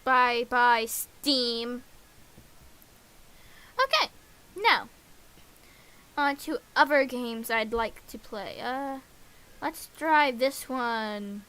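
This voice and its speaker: A woman's voice: on the high side, extremely slow, long pauses, pushed and bright. A woman livestreamer chatting casually and playfully to herself, slipping into sing-song babble. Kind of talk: casual livestream chat while setting up games. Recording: clear background hiss.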